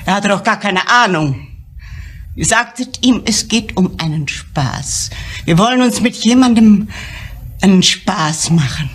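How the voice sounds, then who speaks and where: A woman's voice: medium pitch, animated, a little slow, husky. An actress playing a stubborn, worried middle-aged mother who runs a tavern, speaking in a blunt, guarded way in a crime drama. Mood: anguished. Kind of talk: German crime drama acting.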